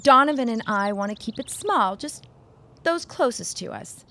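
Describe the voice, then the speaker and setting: A woman's voice: fairly high pitch, animated, moderate pace, fairly bright tone. A woman playing a poised, guarded bride-to-be in a period audio drama, speaking formally with dry wit.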